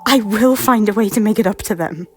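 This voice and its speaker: A woman playing a remorseful former queen who refuses a ghost's orders, often hushed and whispering. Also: medium pitch, fairly quick, crowd noise, lightly acted. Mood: defiant. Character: guilt-ridden former Scottish queen, defiant yet remorseful. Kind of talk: amateur audio drama acting. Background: faint music.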